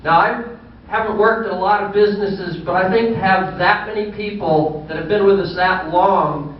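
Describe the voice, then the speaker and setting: A man in his sixties, a business owner giving a folksy banquet speech full of dry, humorous anecdotes. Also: sits high. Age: 60s. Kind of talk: anecdotal after-dinner speech. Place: banquet hall. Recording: distant echoey hall, muffled.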